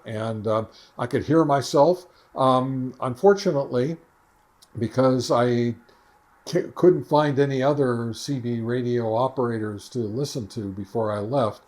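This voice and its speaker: A man's voice: mid-range voice, a little mellow. A man hosting a ham radio video, chatting casually and playfully from his home radio shack, rambling with plenty of ums and uhs. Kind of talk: casual vlog chat.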